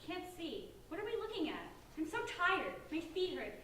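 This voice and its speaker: Woman acting sulky teen girl, high voice, whiny, blunt, staged reading, distant and very echoey. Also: very animated, normal speed, bright, forceful tone, heavily acted. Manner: whiny, blunt teenage complaining. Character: sulky, reluctant sixteen-year-old girl, tired and easily spooked. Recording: echoey hall, distant mic, faint noise.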